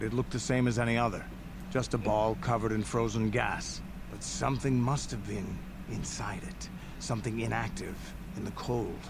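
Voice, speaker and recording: An actor playing a proud, gruff veteran alien warrior in a video game, telling war stories in a gravelly, deliberate, boastful manner. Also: male voice, on the low side. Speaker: game character. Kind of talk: dramatic scripted video game dialogue. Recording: game audio under steady noise.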